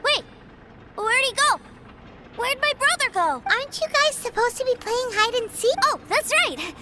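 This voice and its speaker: A woman voicing an excitable, mischievous little boy in a video game, gleeful and innocent in manner. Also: very high-pitched, normal speed, heavy background noise. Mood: surprised. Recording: loud music behind the voice. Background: loud game music.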